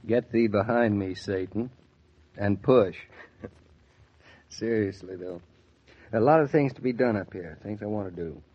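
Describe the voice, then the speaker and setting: A man's voice: fairly low pitch, unhurried, long pauses, husky, dull audio. A man playing a wry, proud young husband and father in a 1940s radio drama. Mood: wry, warming.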